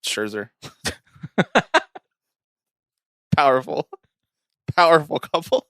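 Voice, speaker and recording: A man's voice: fairly high pitch, lively intonation, slow, fairly bright tone, smooth, dry recording, studio quality. A man co-hosting a podcast in casual banter, with a teasing, opinionated way of talking. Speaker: podcast co-host.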